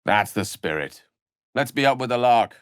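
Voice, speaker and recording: A man playing an eloquent, lively-voiced wizard. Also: mid-range voice, normal speed, smooth, dry recording, clean studio sound, close to an everyday voice. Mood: brisk, encouraging. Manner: eloquent, courtly phrasing with wry asides. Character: learned, wry, courteous male human wizard. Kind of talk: video game character voice lines.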